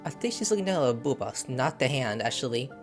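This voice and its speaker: Excitable joking gamer guy. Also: mid-range voice, fast, soft background music. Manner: joking, excitable, talks to himself. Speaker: gamer playing the quest. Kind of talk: casual let's-play commentary.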